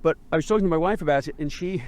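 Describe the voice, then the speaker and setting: A man hosting a podcast interview, talking in a halting way with many repeated starts as he reflects and builds ideas aloud. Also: fairly high pitch, darkish tone. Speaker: podcast host. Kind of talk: conversational interview.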